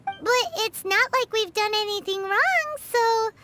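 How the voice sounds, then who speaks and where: A woman's voice: extremely high pitch, a little slow, loud music behind the voice. A woman voicing a tiny, childlike fairy companion in a video game, chatty and cutesy, with a cheeky temper. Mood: worried, grumbling. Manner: chatty, cutesy, refers to herself by name. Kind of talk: scripted video game voice acting.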